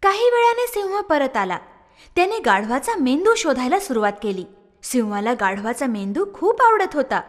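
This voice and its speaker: A woman narrating a scripted story for children in an expressive storytelling style. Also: sits high, moderate pace, in a large hall.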